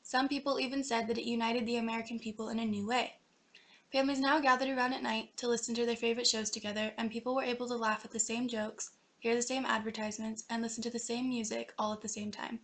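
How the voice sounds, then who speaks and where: A young woman narrates a scripted documentary, reading from the page. She goes quickly in a slightly high voice with heavy fry at the edges. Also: level tone. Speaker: narrator of student history project.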